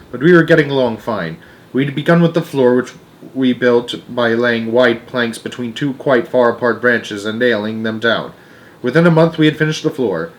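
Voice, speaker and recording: A man with a mid-pitched voice reads a children's novel aloud. He narrates expressively, as a storyteller to listeners.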